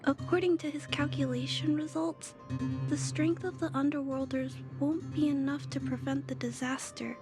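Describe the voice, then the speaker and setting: A woman's voice: sits high, fairly even intonation, moderate pace. A polite earnest young girl. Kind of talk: video game voice acting. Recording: game audio with clear music behind.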